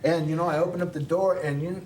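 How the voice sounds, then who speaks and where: A man's voice: on the high side, steady tone, very fast, darkish tone, far from the mic. A man hosting a small local TV show, telling a personal story in a rambling, casual way with many restarts. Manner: rambling, with frequent restarts and laughs.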